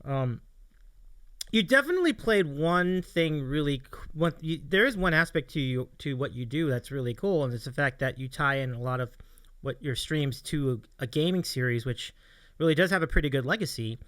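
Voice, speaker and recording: A man's voice: fairly high pitch, lively intonation, moderate pace, a bit bright, smooth, no room sound. A man hosting a livestream interview show, chatting casually in an appreciative, encouraging way. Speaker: host of a streaming interview show.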